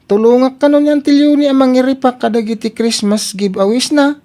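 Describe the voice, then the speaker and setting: Meek, soft-toned young Filipino helper played by a woman. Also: high-pitched, steady tone, fairly quick, close to an everyday voice. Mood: agreeable. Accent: Filipino (Ilocano). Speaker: radio drama actor. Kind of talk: Ilocano comedy radio drama acting.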